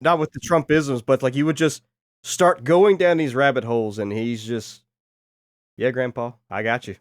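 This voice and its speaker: A man hosting a podcast while getting over a cold, sounding hoarse and making self-deprecating jokes in a casual chat. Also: mid-range voice, fairly even intonation, normal speed, no echo, clean studio sound. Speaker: podcast host.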